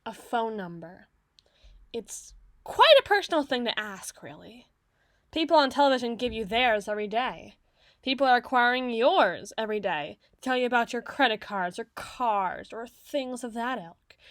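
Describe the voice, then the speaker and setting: A woman's voice: on the high side, expressive, moderate pace, fairly bright tone, no room sound, studio quality. A woman playing a flustered, haughty teenage tsundere girl in an ASMR roleplay, bookish and socially awkward, with formal, wordy diction. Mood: flustered musing.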